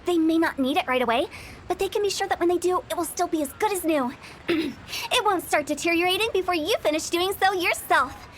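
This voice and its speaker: A woman's voice: extremely high pitch, fairly quick, slight background noise. A woman voicing a mischievous young female funeral parlor director in a video game, playful and teasing with spooky asides. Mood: scheming.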